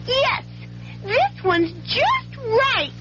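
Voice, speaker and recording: A woman's voice: very high voice, sing-song, very slow, full character voice. A woman playing a perky storybook girl in a 1970s radio commercial. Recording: muffled, faintly noisy old radio recording.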